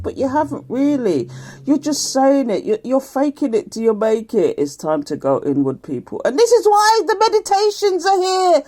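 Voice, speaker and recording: Woman, croaky, middle-aged, mellow, lively pitch, chatty tarot reading. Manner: chatty, laughs often, reads aloud from a card booklet. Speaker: tarot reader. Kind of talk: casual daily card reading.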